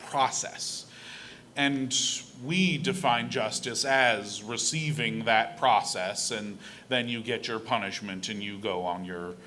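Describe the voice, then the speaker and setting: Bright-voiced young man giving a church talk. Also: mid-range voice, slight background noise. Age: young adult. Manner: earnest, conversational, with fillers and a self-deprecating laugh. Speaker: lay speaker at a church service. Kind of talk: personal reflection talk from a pulpit. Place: church sanctuary. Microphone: pulpit microphone.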